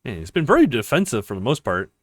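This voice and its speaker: A man's voice: mid-range voice, wildly swooping pitch, fast talker, a bit bright, dry recording, clean studio sound. A man hosting a let's play, talking casually over a visual novel with loose, hesitant asides. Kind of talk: casual game playthrough commentary, reading dialogue aloud.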